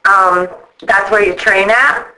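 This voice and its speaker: A woman's voice: mid-range voice, slow pace, noisy background. A woman asking questions in a casual phone interview, in an informal, chatty way.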